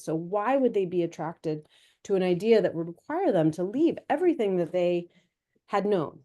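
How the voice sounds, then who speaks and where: Measured, warm-toned woman historian. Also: fairly low pitch. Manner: measured, explanatory, lecture-like. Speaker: history professor on a panel. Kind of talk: academic panel answer.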